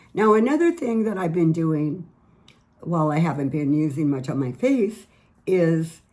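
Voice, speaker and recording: Mellow-voiced woman giving skin care tips. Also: sits low. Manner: chatty, earnest, repeats words. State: slightly raspy voice. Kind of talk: casual product tips vlog.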